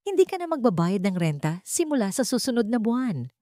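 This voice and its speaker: A woman's voice: on the high side, big pitch swings, brisk, warm tone, no room sound, studio quality, voice pushed up. A woman voicing a cheerful middle-aged male landlord in a love story, jovial and exclaiming.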